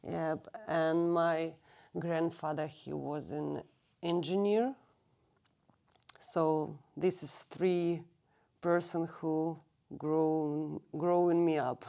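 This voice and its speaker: A woman in her thirties from Russia speaks slowly in a low, mellow voice. She is a native Russian speaker and often pauses to find the right English word. She is being interviewed in a library. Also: steady tone, vocal fry. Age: 30s. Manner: halting, searching for English words. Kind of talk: recorded oral history interview. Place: public library.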